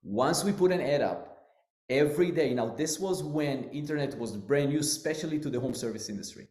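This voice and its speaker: A man's voice: a little high, animated, fairly quick, darkish tone. Israeli-accented man telling his story. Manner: earnest, loosely phrased, non-native grammar. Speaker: business owner guest. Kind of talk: storytelling interview answer. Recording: roomy echo.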